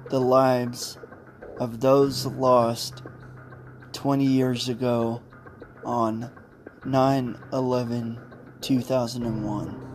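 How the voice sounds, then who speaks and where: Slow, halting male podcast host. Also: medium pitch, a little mellow. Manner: breaks sentences into short halting chunks. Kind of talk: halting, informal podcast outro.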